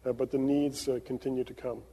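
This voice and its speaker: Man, pastor, from Venezuela, halting announcements, long church echo. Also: medium pitch, flat delivery, breathy edge, dull audio. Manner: hesitant, many fillers in announcements. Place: church sanctuary. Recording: long reverb of a church sanctuary.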